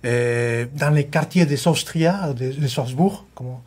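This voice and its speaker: A man's voice: mid-range voice, measured pace, a little mellow, slightly rough. A man speaking as a guest on a studio panel, hesitant, with many restarts.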